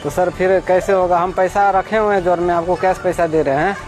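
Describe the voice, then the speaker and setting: A man's voice: sits high, fairly even intonation, fairly quick, noisy background. A man with a Bihari accent talking in a plaintive, repetitive way, explaining and pleading. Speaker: complainant, patient's relative. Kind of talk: pleading phone talk and interview account. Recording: background music over recorded speech.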